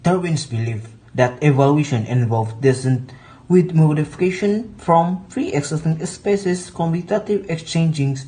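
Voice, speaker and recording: Quiet, mellow young man reading aloud. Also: a little high, a little slow, low-quality recording. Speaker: student presenter. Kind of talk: reading a class report.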